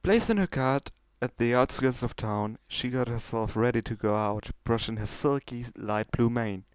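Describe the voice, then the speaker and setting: A man's voice: mid-range voice, normal speed, smooth, no echo. A man reading fan fiction as an amateur narrator, moving between casual talk and storytelling.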